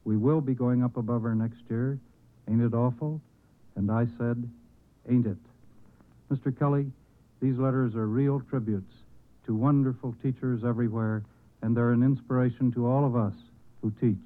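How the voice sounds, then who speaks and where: A man's voice: mid-range voice, fairly even intonation, normal speed. A man speaking and reading aloud as a guest on a 1940s radio show. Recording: old radio broadcast, thin phone-like band.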